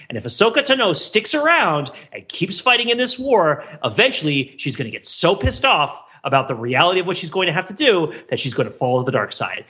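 A man's voice: fairly high pitch, bright, forceful tone, muffled recording. A man co-hosting a podcast in casual analytical discussion, thinking aloud in long runs and often checking in with "right?". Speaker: podcast co-host.

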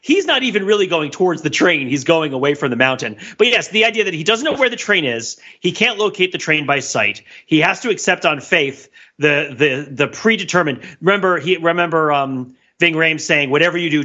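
A man with a bright, forward voice, pitched a little high. He talks as a podcast co-host, riffing playfully on films in casual chat. The audio is slightly dull and lo-fi. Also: animated, moderate pace. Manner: laughs often while talking, playful riffing. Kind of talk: casual film discussion.

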